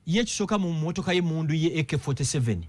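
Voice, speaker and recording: A Ugandan man on a radio talk show, talking politics in an animated way and firing one rhetorical question after another. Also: fairly high pitch, racing. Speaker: political commentator.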